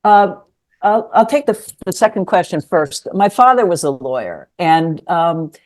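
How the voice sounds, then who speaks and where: A woman's voice: on the low side, expressive, unhurried, a little mellow. Older woman, thoughtful and hesitant. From New York City. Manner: thoughtful, hesitant with many ums. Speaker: interview guest, lawyer and writer. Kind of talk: conversational interview.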